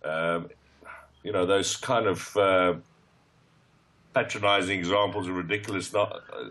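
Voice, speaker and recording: A man's voice: deep voice, fairly even intonation, unhurried, bright, forceful tone. A man speaking as a football expert on a radio show, hesitant and thinking aloud. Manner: hesitant, many fillers and restarts. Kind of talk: radio discussion.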